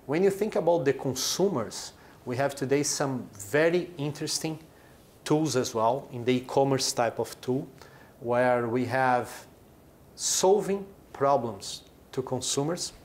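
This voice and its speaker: A man's voice: mid-range voice, measured pace, a little mellow. A man in his forties from Brazil, a company chief explaining his business in an interview, with short emphatic phrases. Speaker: chief executive of a brewing company.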